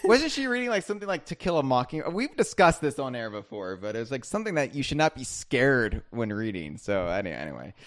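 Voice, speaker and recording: A man's voice: mid-range voice, big pitch swings, fast, hardly any pauses. A man hosting a podcast, chatting casually in a rambling, self-deprecating, joking way. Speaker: podcast host.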